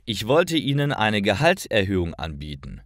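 A man reading the part of a calm, courteous male company boss in a storybook. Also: fairly low pitch, normal speed, no echo, studio quality.

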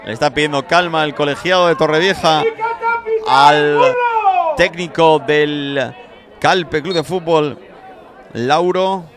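A man's voice: on the high side, slow pace, pauses often. A man with a Spanish accent from Spain giving live play-by-play football commentary. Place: outdoor football ground. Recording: outdoor stadium crowd noise behind. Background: crowd noise.

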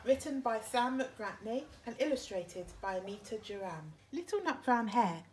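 A woman, reading a picture book to young children in an expressive, clearly phrased way. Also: a little high, moderate pace. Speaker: school staff member reading a story. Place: school classrooms and office, indoors.

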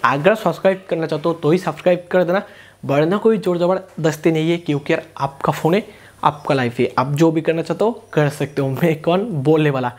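A man's voice: a little high, a little fast. A man, hosting a tech tutorial and explaining step by step in a repetitive way. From India. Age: 20s. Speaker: YouTube tech and gaming tutorial host. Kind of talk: scripted tutorial to camera. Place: small indoor room. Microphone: lapel mic.

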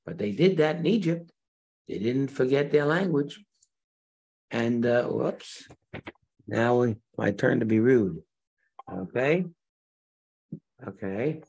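An older man, a rabbi, giving an unhurried online Torah class, pausing often with fillers and restarts, speaking on a video call from a room by large windows. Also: mid-range voice, creaky, low-quality recording. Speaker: rabbi teaching converts.

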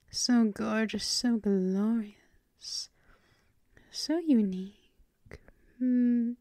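Woman acting out a slow, whispering seductive vampire mistress. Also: fairly high pitch, warm tone, no room sound. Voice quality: breathy whisper. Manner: slow, purring, lingering with hums. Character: seductive, dominant female vampire mistress, teasing and possessive. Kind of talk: ASMR roleplay, mostly whispered.

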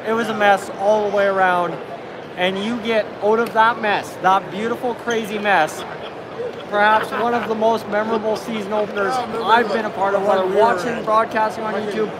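A man's voice: sits high, level tone, normal speed. A man giving post-game sports commentary as a fan vlogger, recorded on a phone at arm's length in a busy arena entrance. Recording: loud urban crowd and traffic noise.

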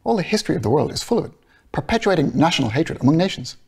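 An earnest middle-aged man pleading his case, his voice climbing high as it heats up, as a male reader puts him on. The tone is gravelly and husky underneath, and the pitch swings all over. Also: very animated, racing, full character voice. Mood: impassioned. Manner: impassioned, rising to pleas.

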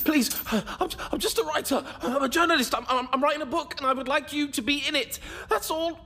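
A woman's voice: high-pitched, brisk, bright, forceful tone, slight background noise. A woman playing a frightened, stammering writer and journalist pleading in an audio drama. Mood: pleading, nervous.

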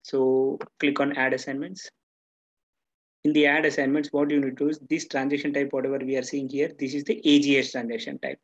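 Monotone man explaining software, dull audio. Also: medium pitch, a little fast. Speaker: software tutorial instructor. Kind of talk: screen-share tutorial, unscripted step-by-step explanation.

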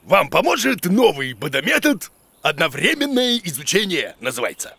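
A man voicing an excitable, pompous, muddled monster teacher in a children's educational cartoon, with a creaky voice. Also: high voice, normal speed, no echo, heavily acted. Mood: confidently muddled. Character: blustery, absurd, bossy male monster teacher for children.

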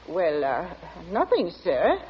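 A woman's voice: lowish voice, wildly swooping pitch, slow, breathy edge, exaggerated voice. An actress playing an anxious, flustered, deferential working-class British landlady in 1940s radio style. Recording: dull, noisy 1940 radio recording.

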